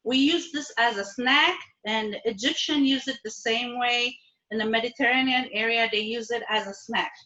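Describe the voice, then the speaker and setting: A woman's voice: on the high side, moderate pace, pushed and bright, reverberant, dull audio. A woman from Ethiopia and Eritrea teaching a live online cooking class from her kitchen, friendly and patient, often checking in with her students. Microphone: video call.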